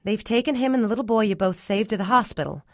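A caring neighbor lady in a storybook, as a woman narrator plays her. She explains things gently and reassuringly in a raised pitch, recorded dry. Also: lively intonation, fast talker, no echo, close to an everyday voice. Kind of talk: audiobook character dialogue.

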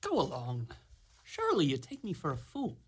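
A woman's voice: sits low, huge pitch swings, moderate pace, a little mellow, slightly rough, exaggerated voice. A woman playing a naive, cocky young lad who is a lazy dreamer, in a fairy-tale radio play. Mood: naive, boastful.

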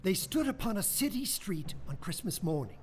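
A man's voice: high voice, very animated, normal speed. A man narrating stage directions in a storytelling manner for a radio play. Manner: storytelling, descriptive. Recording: radio play with music underneath.

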